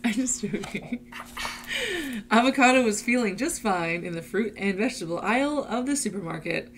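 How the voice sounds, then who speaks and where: A woman reading a picture book aloud to children in an expressive, playful storytelling manner. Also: mid-range voice, normal speed, noisy background. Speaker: adult reader leading a children's read-aloud.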